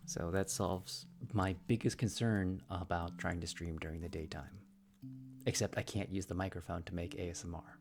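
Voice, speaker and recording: A man's voice: low-pitched, fairly even intonation, normal speed. A man livestreaming casually from a room in a shared house, rambling with frequent restarts and fillers. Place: room in a shared house with thin, echoey walls. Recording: clear music playing underneath.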